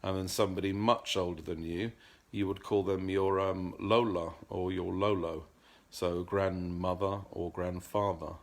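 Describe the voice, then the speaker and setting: A man's voice: low-pitched, fairly even intonation, measured pace. A middle-aged man talking earnestly in a casual vlog monologue, often repeating words as he searches for the next one. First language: English. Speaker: vlogger.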